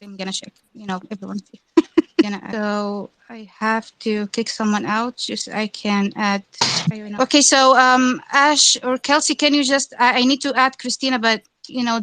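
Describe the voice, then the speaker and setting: Woman, host, eager and chatty, stumbling phrasing, higher voice, dry close sound. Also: moderate pace, fairly bright tone, no echo, low-quality recording. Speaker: host of a fan audio chat. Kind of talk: casual live chat hosting.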